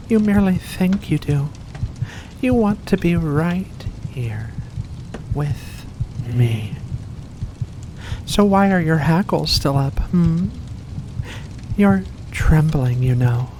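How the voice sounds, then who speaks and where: A performer voicing a seductive, predatory vampire in an intimate ASMR roleplay, speaking with slow, purring menace. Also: mid-range voice, animated, darkish tone, slight background noise. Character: seductive, predatory furry vampire luring prey.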